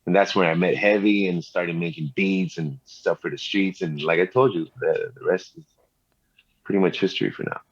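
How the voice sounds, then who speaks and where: A man's voice: deep voice, steady tone, moderate pace. A middle-aged man from Montreal, a rapper and producer telling his story in a laid-back way, full of street slang and "you know.". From Montreal, Canada. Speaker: rapper and music producer, guest. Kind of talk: casual interview storytelling. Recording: slightly dull, lo-fi sound.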